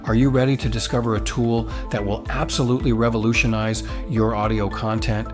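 A man's voice: fairly low pitch, level tone, fairly quick. A man hosting a tutorial with upbeat, promotional scripted narration. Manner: enthusiastic, promotional. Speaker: tutorial host. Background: background music.

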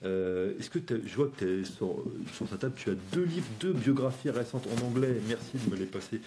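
A man's voice: medium pitch, animated, noisy background. A man hosting a history program who speaks casually with many fillers. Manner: halting, filler-heavy. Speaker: podcast host. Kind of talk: casual radio talk.

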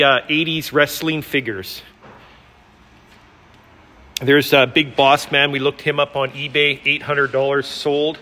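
A man with a fairly high, forceful voice talks off the cuff as he shows items around a big warehouse. He sounds like an enthusiastic auctioneer giving a casual preview tour. The room adds a long reverberation to his words. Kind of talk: casual unscripted walkthrough narration. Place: large concrete-floored auction warehouse, indoors. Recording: reverberant large hall.

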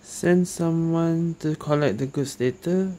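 A woman's voice: low-pitched, takes it slowly, mellow, slight background noise. A woman game streamer giving casual commentary as she plays. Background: game music.

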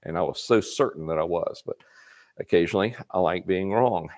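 A low-pitched man in his fifties with a drawl talks casually from a workshop, answering viewers' repair questions on a live stream. He is folksy and chatty, and he folds little stories of his own into his advice. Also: brisk, darkish tone. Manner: folksy, chatty, reads questions aloud and adds anecdotes. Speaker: parts company host answering viewer questions. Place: workshop garage with motorcycles, indoors.

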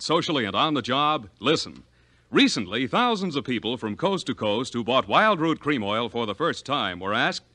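An American man announcing in 1940s radio style, reading a scripted sales pitch with polished emphasis. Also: sharp tone, no echo. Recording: old 1940s radio broadcast.

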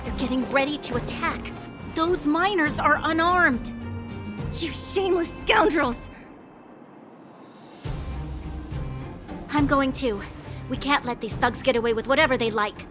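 Actress as a high-pitched, brash young female fighter. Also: normal speed, pauses often, music in the background, close to an everyday voice. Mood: indignant. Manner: blunt, confident. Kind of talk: dramatic game dialogue acting.

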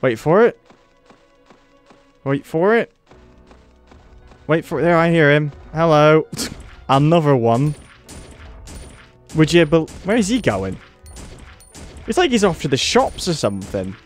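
A man's voice: a little high, big pitch swings, measured pace, pauses often, faint music behind. A man with a British accent giving casual, wry commentary while playing a game and laughing at it. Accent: British English. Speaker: let's play host.

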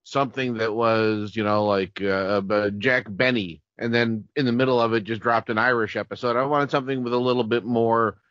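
A man's voice: medium pitch, fairly even intonation, normal speed, hardly any pauses, fairly bright tone, dry recording. A man chatting casually as a podcast co-host. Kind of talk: casual chat. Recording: dull, lo-fi podcast audio.

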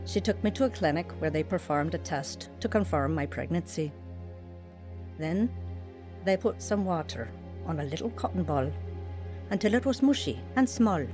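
A woman's voice: mid-range voice, lightly acted. Young mother in an audio drama, played by an actress, troubled, recounting hardship over prominent music. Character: troubled young mother telling her painful life story. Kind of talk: dramatised first-person narration, radio drama.

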